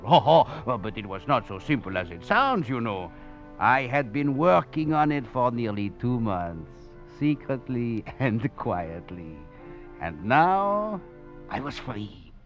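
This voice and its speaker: A muffled vintage radio drama with faint music under the voice. an urbane French master thief, a man of middling pitch who tells his story with courtly, wry relish and theatrical flourish. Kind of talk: 1940s radio drama acting, first-person narration.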